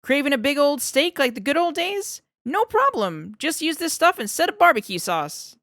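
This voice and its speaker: A woman's voice: sits high, big pitch swings, normal speed, fairly bright tone, no echo, clean studio sound, voice pushed up. A woman voicing a nervous male lab scientist who rambles and over-explains, eager to please. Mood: eager babbling.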